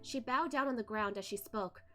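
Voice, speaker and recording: High-voiced woman narrating a story. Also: moderate pace, pushed and bright, faint music behind. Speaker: host of a novel read-along, virtual streamer. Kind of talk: expressive story narration with casual asides.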